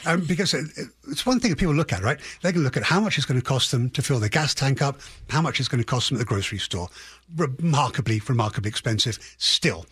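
A man speaking as an expert guest in a radio interview, analysing in a halting way with qualifiers and emphatic restatements. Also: medium pitch, expressive, fairly bright tone, slightly rough, no echo. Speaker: housing economist guest.

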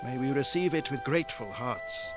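A man's voice: medium pitch, expressive, normal speed, lightly acted. An actor playing a gracious, devout Pilgrim governor in a radio drama, genial and stately in his formal speech. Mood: reverent. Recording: faint music behind. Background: faint music.

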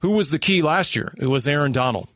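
A man's voice: a little high, animated, fast, fairly bright tone, dry recording, clean studio sound. A man co-hosting a sports talk radio show, analysing in an emphatic way with rhetorical questions.

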